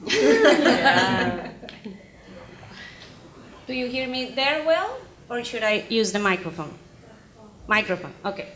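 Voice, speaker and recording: A woman's voice: fairly high pitch, big pitch swings, slow pace, pauses often, a bit bright. A woman with a Colombian accent chairs a conference panel, speaking hesitantly with many fillers. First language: Spanish. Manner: hesitant, many fillers, apologetic about her English. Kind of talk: panel moderation. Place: conference stage, large hall. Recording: roomy hall sound, faint noise.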